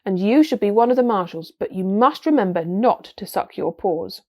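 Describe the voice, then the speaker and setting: A woman playing a young male High King, fair-minded, gracious and measured, in a storybook reading. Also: lively intonation, a little mellow. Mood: grave, courteous.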